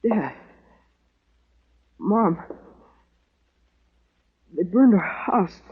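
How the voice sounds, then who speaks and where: Gasping, high-voiced wounded boy. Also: expressive, breathy edge, heavily acted. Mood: desperate, dying. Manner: halting, broken phrases. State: gasping, weak. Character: wounded frontier homesteader boy, desperate and faltering. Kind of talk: 1950s radio western acting. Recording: muffled vintage radio recording.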